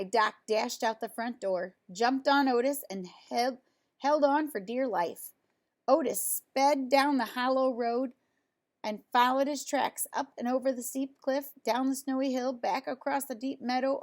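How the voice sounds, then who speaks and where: A woman with a fairly high voice reads a picture book aloud for young children. Her delivery is expressive and simply put, like someone sharing a story with little listeners. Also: a little slow. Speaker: storybook reader.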